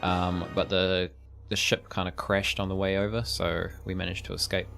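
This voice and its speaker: A young man chats through a video game as he plays, rambling and thinking aloud. His voice is low and gravelly. Game music plays clearly in the background. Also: level tone, moderate pace, fairly bright tone. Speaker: streamer playing a game. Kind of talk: casual let's-play commentary.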